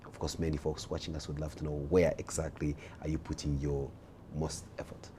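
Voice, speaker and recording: Low dark-voiced host guy, playful probing questions, lil rumble behind. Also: a little fast. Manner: lively, probing, theatrical questions. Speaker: talk show host.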